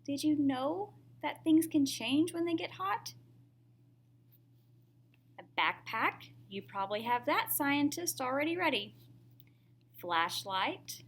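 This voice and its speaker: A woman's voice: sits high, very animated, takes it slowly, long pauses. A woman reading a picture book aloud to young children in a teacherly way, often putting questions to the listener.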